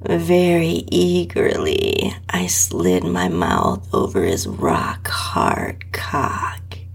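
A woman reads an intimate first-person adult story as its narrator. Her voice is extremely breathy and often close to a whisper, with a gravelly fry in it. She takes it very slowly, with a sultry, drawn-out delivery. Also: extremely low pitch, huge pitch swings. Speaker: podcast host and story narrator.

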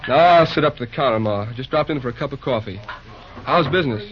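An actor playing an earnest, businesslike railroad boss in an old-time radio drama. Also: male voice, mid-range voice, lively intonation, quick pace, natural voice. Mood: subdued, friendly. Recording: dull lo-fi old radio recording.

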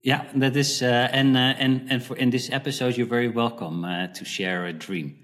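A man's voice: medium pitch, fairly even intonation, moderate pace, fairly bright tone. A Dutch man, a guest on a podcast, talking hesitantly with many uhs and restarts. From Netherlands. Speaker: podcast guest, dream expert. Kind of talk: casual interview talk.

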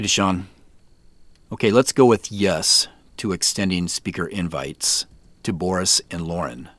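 A deep-voiced, weary businessman in a naturalistic audio drama. He dictates messages slowly and evenly, pausing between phrases. The sound is dry and close. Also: a bit bright, no room sound, heavily acted. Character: tired businessman dictating text messages to his phone.

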